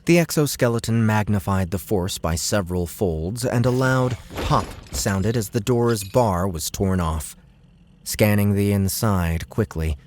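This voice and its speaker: Deep-voiced male audiobook narrator. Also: darkish tone, slight background noise. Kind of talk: dramatic scripted narration.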